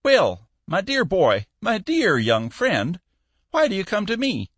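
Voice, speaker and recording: A man reading an audiobook, voicing a shrewd, meddling old shipping boss in a high, purring, amiably sly voice. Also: huge pitch swings, takes it slowly, a bit bright, husky, no echo, heavily acted. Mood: sly amiable.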